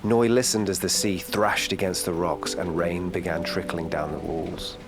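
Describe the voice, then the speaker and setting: A man reading a children's bedtime story in a calm, gentle storytelling manner. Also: deep voice, moderate pace.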